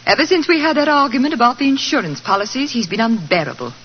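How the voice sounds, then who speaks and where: A woman's voice: a little high, animated, fast, lightly acted. A woman plays a put-upon wife in an old radio drama. She is genteel and reproachful, with dramatic rises and falls. The recording is dull and slightly noisy. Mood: troubled, apologetic. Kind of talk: 1940s radio drama acting.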